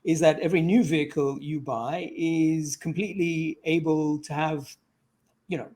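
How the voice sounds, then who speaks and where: Higher-voiced male interviewer. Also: steady tone, normal speed, a little mellow. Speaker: interviewer, host. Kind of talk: conversational interview questioning.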